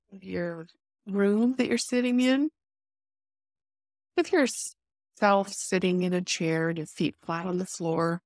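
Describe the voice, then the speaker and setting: Lower-pitched woman guiding a meditation. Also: a little mellow, dry recording, clean studio sound. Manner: soothing, unhurried, instructive with pauses. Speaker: guided meditation leader. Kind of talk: guided meditation narration.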